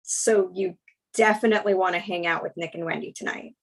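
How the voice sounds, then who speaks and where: A woman playing a frank twenty-seven-year-old girlfriend in a screenplay table read, speaking in a dry, deadpan way. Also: lowish voice, fairly quick. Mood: wry, careful.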